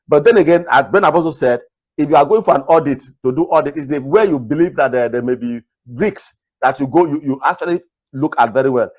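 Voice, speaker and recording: A man from Nigeria hosting a talk video, earnestly advising viewers in a naturally loud voice and repeating his points. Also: fairly high pitch. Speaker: host. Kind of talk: casual commentary to camera.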